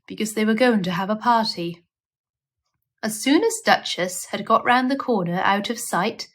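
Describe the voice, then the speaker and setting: A woman narrating a children's storybook aloud in a measured, expressive read-aloud style. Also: medium pitch. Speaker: storyteller reading a children's book.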